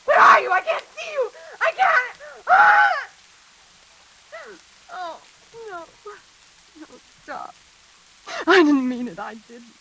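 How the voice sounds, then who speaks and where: An actress playing a hysterical, guilt-ridden woman in a melodramatic 1940s radio suspense play, gasping and halting as she speaks. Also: very high-pitched, huge pitch swings, raised voice, full character voice. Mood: terrified, frantic. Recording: hissy old radio recording.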